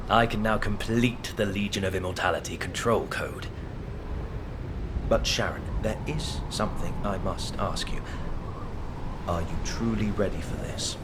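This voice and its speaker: Grave, low-voiced scheming scientist. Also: male voice, background noise. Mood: solemn. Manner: measured, formal, deliberate. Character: grave, scheming male scientist plotting a long-awaited final act. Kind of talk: video game voice acting. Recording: loud music over the voice.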